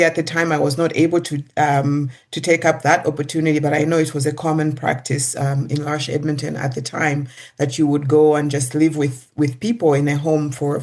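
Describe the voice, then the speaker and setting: A middle-aged guest from Zambia, answering interview questions in a hesitant way with many pauses and restarts. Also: medium pitch. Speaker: executive director, guest.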